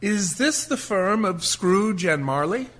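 An actor playing an earnest, genteel charity collector in a radio play, speaking with polite formality. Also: male voice, sits high, lively intonation, slow pace, fairly bright tone, heavily acted. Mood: courteous, hopeful.

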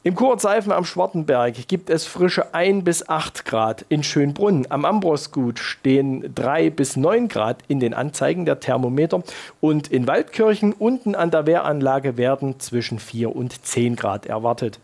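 A man in his thirties presenting scripted regional news and weather in a measured, formal announcing manner with clear emphasis. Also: mid-range voice, darkish tone. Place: TV studio.

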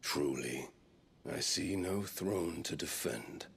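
An actor playing a cruel, mocking older male warlord in a video game cutscene, speaking in a deep gravelly voice with slow, taunting, theatrical delivery. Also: slight background noise, heavily acted.

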